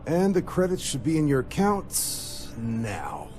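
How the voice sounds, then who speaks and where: A man's voice: medium pitch, huge pitch swings, slow pace, a little mellow, soft background music. A man playing an affable, businesslike military commander in a video game, with hearty, informal authority. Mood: congratulatory.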